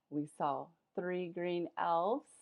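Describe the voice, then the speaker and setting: A woman teacher reading a picture book aloud to young children, addressing them directly in an expressive, emphatic way. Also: on the low side, extremely slow, gravelly, phone-quality sound. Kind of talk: animated children's storybook read-aloud.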